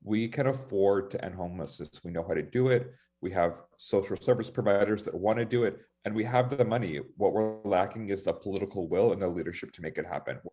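Deep-voiced American man, advocate. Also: fairly quick, few pauses, warm tone. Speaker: homelessness advocate. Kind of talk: interview soundbite. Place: indoor room on a video call. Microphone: computer video call.

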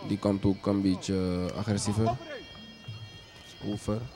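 A man commentating on a kickboxing match in a hesitant, measured way, as a sports commentator does during a live bout. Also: fairly low pitch, flat delivery. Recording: music behind the commentary. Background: music.

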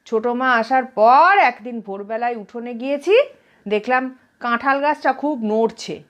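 A woman's voice: fairly high pitch, normal speed, a bit bright, lightly acted. A woman voicing a fearless, plain-spoken older widowed aunt in a story, exclaiming and asking dramatic questions. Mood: reminiscing.

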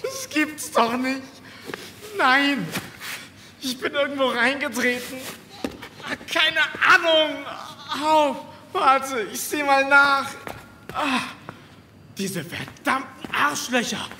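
An actor playing an anxious, sarcastic, halting man trapped in a cellar, in a horror audio drama. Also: very high-pitched, animated, pushed and bright, exaggerated voice. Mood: pained, furious. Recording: echoey hall reverb, faint background noise.

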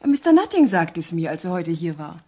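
A woman playing an agitated, indignant young client in a 1970s radio crime drama, with a soft-edged husky voice. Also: lowish voice, very animated, fairly quick, exaggerated voice. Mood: anxious, defensive.

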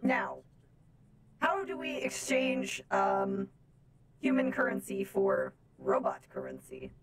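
A woman's voice: mid-range voice, wildly swooping pitch, slow, voice pushed down, full character voice, rough recording. A woman voicing a stilted, literal-minded female robot from space in improvised game roleplay, with formal robotic phrasing. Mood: polite, stilted.

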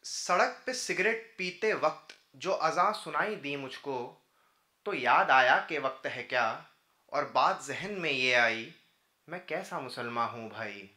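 Male poet, thirties, very bright forceful tone, declamatory and rhythmic, roomy hall sound. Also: medium pitch, animated, a little slow. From India. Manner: declamatory, rhythmic repeated phrasing. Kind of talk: live Urdu-Hindi poetry recitation. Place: indoor auditorium stage at a podium. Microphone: podium microphone. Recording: roomy auditorium sound through PA microphone.

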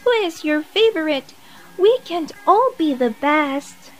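A woman's voice: high voice, expressive, slow pace, soft background music, voice pushed up. A woman voicing curious little baby bears who are unsure of themselves, in a childlike storybook read-aloud.

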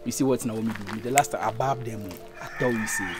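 A man's voice: lowish voice, fast, natural voice. An actor as a hot-headed Ghanaian loyal man. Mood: agitated. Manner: agitated, repetitive, blustering. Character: hot-headed, protective loyal man serving his madam. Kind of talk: dramatic acting in Pidgin. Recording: music under the voice.

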